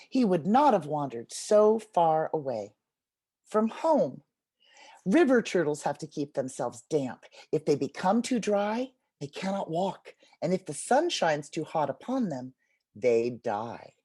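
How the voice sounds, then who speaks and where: A woman leading an interactive read-aloud as a teacher and storyteller, encouraging and expressive, speaking over a video call. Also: low voice, a little slow, slightly rough. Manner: encouraging, expressive, addresses listeners like young children. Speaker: literacy workshop teacher, storyteller.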